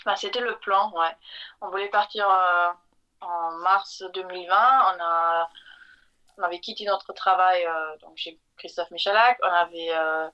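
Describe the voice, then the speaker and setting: A woman's voice: on the low side, a little slow, bright, forceful tone, highs cut off. A woman guest telling her story in a casual interview, hesitant with many fillers. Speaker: guest, chocolatier.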